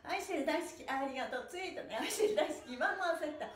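Cheerful high-voiced woman leading stretches. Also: animated, quick pace. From Japan. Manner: cheerful, playful, chants in rhythm while stretching. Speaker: stretch and pantomime instructor. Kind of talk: live-streamed exercise class with chanting. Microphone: camera across the room. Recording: echoey small room.

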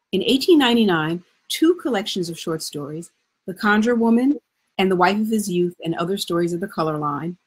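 A woman reads scripted narration as a presenter. Her voice sits on the low side and has a husky grain. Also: poor audio. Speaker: narrator and presenter of a literary event.

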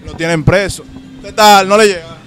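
A man's voice: sits high, steady tone, normal speed, fairly bright tone, faint music behind. A man with a Dominican accent hosting casual street interviews on a handheld microphone. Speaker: street interviewer and show host. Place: outdoors on a busy street by open shopfronts.

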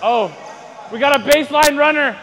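A man's voice: extremely high pitch, normal speed, heavy background noise. A young man wearing a mic while playing volleyball in a large indoor gym, joking and calling out scores. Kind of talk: casual chat during play. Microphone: body-worn mic. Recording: crowd of players behind.

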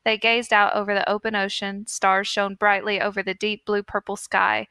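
Bright, clear-voiced woman reading a story. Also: mid-range voice, little pitch movement, moderate pace, no echo. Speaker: podcast co-host. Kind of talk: reading fan fiction aloud.

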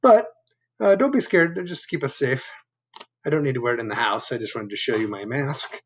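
A man in his fifties reading a picture book aloud to children, adding chatty asides and gentle questions. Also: medium pitch, animated, a little fast, noisy background. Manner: asking the listeners gentle questions. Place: living room at home. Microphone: laptop webcam at arm's length.

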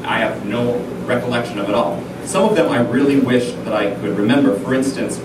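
A man's voice: medium pitch, fairly quick, heavy background noise. A man in his thirties from Alaska telling comic stories on stage in a dry, self-deprecating way. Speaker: cabaret pianist and storyteller. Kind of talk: comic stage storytelling to a live audience. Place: small cabaret room, indoors. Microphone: stand microphone at piano, filmed from afar. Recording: echoey room, distant camcorder audio.

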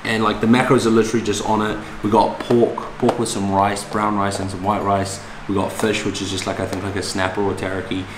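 Young male New fitness vlogger, low-pitched and slangy, casual to camera in a noisy, reverberant room. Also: flat delivery, a little fast, hardly any pauses. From New Zealand. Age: young adult. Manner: blokey, slangy, sweary. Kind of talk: casual vlog chat to camera. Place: indoors in kitchen, living room and gym. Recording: echoey room with background noise.

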